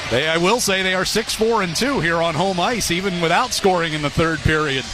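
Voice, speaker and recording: A man's voice: high-pitched, a little fast, background noise. A man from the United States calling live hockey on the radio as play-by-play announcer, with a dry, wry sense of humour. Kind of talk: live sports commentary. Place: arena broadcast position.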